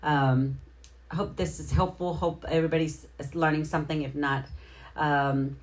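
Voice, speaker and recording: A middle-aged woman with a low voice hosts a casual livestream. She talks straight to her viewers in a chatty, slangy way, as in an informal round of shout-outs. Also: fairly even intonation, moderate pace, low-quality recording. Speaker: livestream host.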